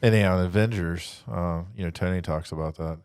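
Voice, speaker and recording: Deep-voiced man reflecting on faith. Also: fairly quick, a little mellow, clean tone, dry recording, clean studio sound. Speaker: faith podcast panelist. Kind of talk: casual religious discussion.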